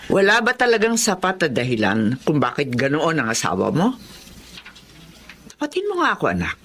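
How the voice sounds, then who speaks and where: Wildly expressive, pleading older Filipino mother. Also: deep voice, normal speed, slightly rough, heavily acted. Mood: pleading, probing. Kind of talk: Filipino radio drama acting.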